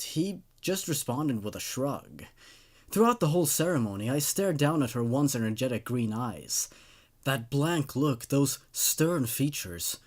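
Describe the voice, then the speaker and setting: A man's voice: medium pitch, darkish tone. A man narrating a first-person horror story as a sleepless, paranoid person confessing a creeping dread.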